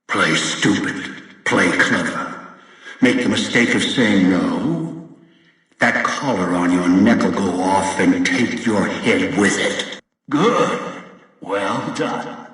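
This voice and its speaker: Echoing, imperious old schemer. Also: male voice, lowish voice, a bit bright. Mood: threatening. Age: older. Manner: deliberate, imperious. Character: domineering, scheming old man issuing cold ultimatums. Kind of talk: video game voice acting. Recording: echoing hall, long reverb, distant.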